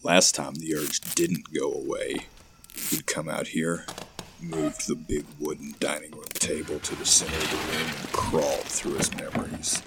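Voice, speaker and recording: A man hosting and narrating a horror audio story with deliberate, measured phrasing. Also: rumbling low, flat delivery, noisy background. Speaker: podcast host and audio drama narrator.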